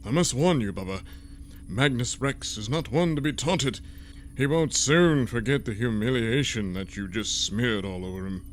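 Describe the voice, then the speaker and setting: A man's voice: mid-range voice, lively intonation, faint music behind, close to an everyday voice. Pompous genteel resistance man, acted by a man. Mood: grave. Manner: formal, measured, pompous. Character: pompous, genteel, self-assured male resistance member. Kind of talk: comic sci-fi audio serial acting.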